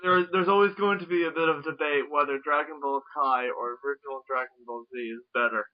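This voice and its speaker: A man interviewing casually, phrasing his questions in a halting, tentative way. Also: fairly high pitch, fairly even intonation. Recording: dull, lo-fi radio-like call audio.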